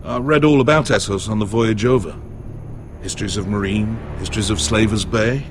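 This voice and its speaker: An actor playing an anxious, bookish middle-aged uncle in a video game drama, articulate and dryly pedantic. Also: male voice, on the low side, a little fast, background noise, natural voice. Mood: earnest, explaining. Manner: dry, articulate, faintly pedantic.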